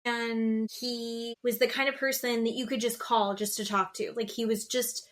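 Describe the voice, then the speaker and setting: A woman with a fairly high voice co-hosts a casual podcast. She talks informally, in loose conversational phrasing, as she recaps television. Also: flat delivery, moderate pace. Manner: informal, peppered with "like", quotes people conversationally. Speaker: podcast co-host.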